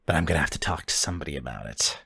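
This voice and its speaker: A man's voice: very deep voice, lively intonation, rapid-fire, gravelly, fairly bright tone, husky, pitched below the performer's own voice, exaggerated voice. A man voicing a shrewd, wry animal-eared informant in a tabletop game, talking thoughtfully with pondering hums and street slang. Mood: thoughtful, explaining. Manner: thoughtful, hesitant hums, sweary street talk.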